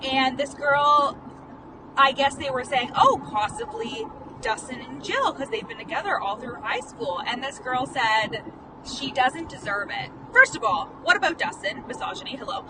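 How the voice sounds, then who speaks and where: A woman's voice: high-pitched, sing-song, normal speed. Chatty stuttering woman talking in a car. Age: late 20s. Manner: chatty and candid, with frequent "like" fillers and swearing. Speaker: life coach and podcast host. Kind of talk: casual vlog monologue while driving. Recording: distant phone mic in a noisy car. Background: car and road noise.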